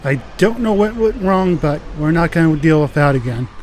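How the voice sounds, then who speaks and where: A man's voice: a little high, expressive, moderate pace, a little mellow, a little hiss or hum. A man giving casual, sarcastic commentary as he records himself playing a video game. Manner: wry, sarcastic. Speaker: gamer recording a let's play.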